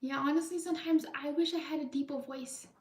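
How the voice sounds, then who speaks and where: High-pitched young woman chatting on stream. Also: fairly even intonation, fairly quick, darkish tone. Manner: chatty, rambling, many restarts. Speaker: live art streamer. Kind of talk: casual stream chat. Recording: roomy echo, mic far off.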